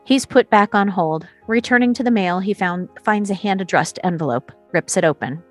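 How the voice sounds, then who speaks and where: A woman reading screenplay action lines as scripted narration, in a storytelling way with short pauses. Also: medium pitch, soft background music. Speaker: stage directions reader.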